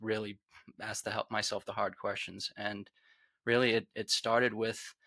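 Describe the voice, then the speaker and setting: A man's voice: on the low side, flat delivery, measured pace, gravelly, no room sound. A man in his forties with a Rhode Island accent, earnestly telling his personal story as a podcast guest. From Rhode Island, USA. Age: 40s. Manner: earnest, reflective, trails off quietly.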